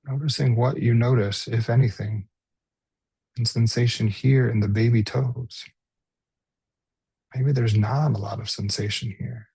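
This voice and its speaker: A man leading a guided body scan meditation as a teacher, speaking gently and unhurriedly with pauses. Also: medium pitch, steady tone, gravelly, husky, dry recording, poor audio.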